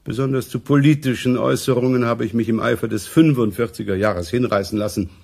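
Pompous, self-important professor. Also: male voice, mid-range voice, steady tone, creaky, a little mellow, slightly rough. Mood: grave, self-important. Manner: pompous, oratorical, deliberate phrasing. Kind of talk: German radio play acting.

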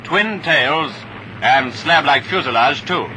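British guy doing a 40s war training film voiceover, kinda high voice, wry and clipped, lots of old film noise. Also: expressive, takes it slowly. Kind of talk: 1940s wartime instructional film narration, scripted. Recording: noisy vintage 1940s film soundtrack.

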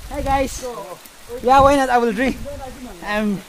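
A young man from India vlogging on a phone held at arm's length while hiking a forest trail to a waterfall, tired and thirsty, with excited exclamations and halting simple phrases. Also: very high-pitched. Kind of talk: casual vlog narration while hiking.

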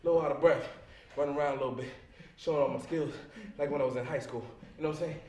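Man acting cocky young boyfriend, high animated voice, slangy cajoling, stage play, echoey noisy theater. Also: very fast, lightly acted. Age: young adult. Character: cocky, sweet-talking, demanding young boyfriend, excitable football fan. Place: indoor theater stage living-room set.

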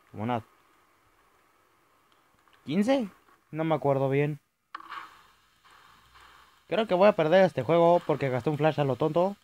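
A young man with a Chilean accent commentating a horror game, yelling at jump scares and rambling between them. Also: fairly high pitch. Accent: Chilean Spanish. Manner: jumpy, shouting at scares, rambling asides. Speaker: gaming youtuber. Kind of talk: let's play commentary.

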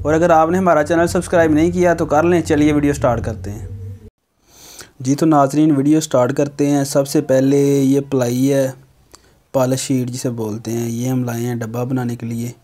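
A man's voice: a little high, fairly even intonation, moderate pace, a little mellow. A young man, giving step-by-step how-to narration in a plain, instructional manner. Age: young adult. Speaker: how-to video host.

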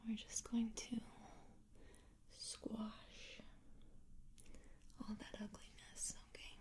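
A young woman whispers softly and breathily up close, gently soothing and reassuring in an ASMR girlfriend comfort roleplay. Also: a little high, monotone. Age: young adult. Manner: soothing, gentle, reassuring, repeating words slowly. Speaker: ASMR performer. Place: small quiet indoor room. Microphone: close to the mic.

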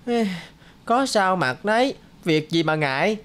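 A woman's voice: fairly low pitch, sing-song, unhurried. A woman voicing a good-natured, loyal schoolboy in a cartoon, with a casual youthful manner. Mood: friendly chatter. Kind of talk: cartoon voice acting.

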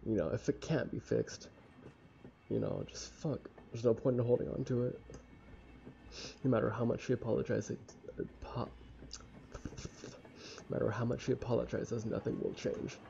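Very gravelly young man, casual gamer. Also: mid-range voice, steady tone, mellow. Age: young adult. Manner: casual, halting, sweary. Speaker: let's play streamer. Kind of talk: reading a visual novel aloud with casual commentary. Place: small room at home. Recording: dull lo-fi mic with faint noise.

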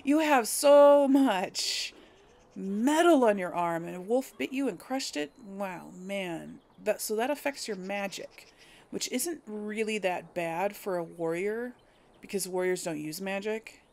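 A woman's voice: medium pitch, lively intonation, measured pace, a little mellow. A woman giving casual let's play commentary, chatting to viewers and musing aloud as she goes. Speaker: gaming video host. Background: video game ambience and effects.

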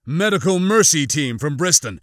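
A man voicing a cocky space smuggler pilot in an audiobook, urgent and quick to bark orders. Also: fairly high pitch, animated, no room sound, studio quality, natural voice. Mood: feigned desperation.

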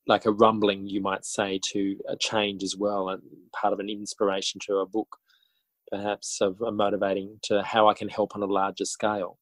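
An Australian man with a lowish voice talks as an interview guest. He is reflective and conversational, pausing and rephrasing as he goes. The sound is fairly dry. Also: no room sound, rough recording. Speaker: interview guest, author and teacher. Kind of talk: conversational interview answers, telling his story.

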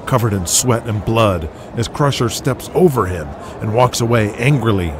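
A man's voice: fairly low pitch, lively intonation, moderate pace, noisy background. An American man narrating an audio drama in a scripted, dramatic storytelling style.

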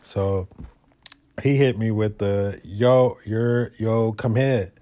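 A young man tells a story in a vlog. His voice sits fairly low and has a dark, mellow tone. He talks casually and loosely, in laid-back street slang. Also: steady tone, slow pace. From Brooklyn, New York. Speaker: motovlogger.

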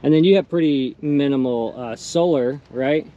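A man's voice: medium pitch, normal speed, dark tone, lo-fi sound. A man chatting casually and enthusiastically as he hosts a tour aboard a sailboat, asking questions and approving. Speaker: host. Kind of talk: casual interview chat on a boat tour. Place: sailboat, on open deck and in the wooden cabin. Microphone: off camera, behind a handheld camera.

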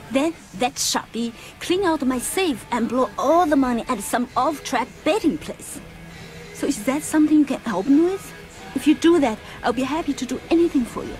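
A woman's voice: on the high side, a little mellow, lightly acted. An actress playing a tough, bitter bar owner, world-weary and suggestive in the way she talks. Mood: flirty. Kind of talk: video game character acting.

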